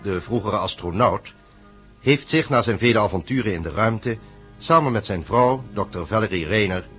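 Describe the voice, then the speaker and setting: A man narrating a Dutch radio serial in a measured, serious scripted style. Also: fairly low pitch, animated, a bit bright, breathy edge. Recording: narration over prominent music.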